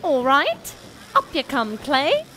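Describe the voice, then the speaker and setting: A woman's voice: sits high, huge pitch swings, takes it slowly, noisy background, heavily acted. A woman playing an eager, chirpy little female mole rescue worker in a comedic audio drama.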